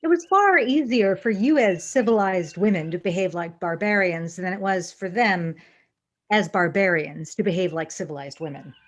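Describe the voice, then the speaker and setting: A woman playing a female alien science officer in a fan audio drama, unemotional, formal and precise, with deadpan delivery. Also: lowish voice, moderate pace, natural voice. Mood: dry, composed.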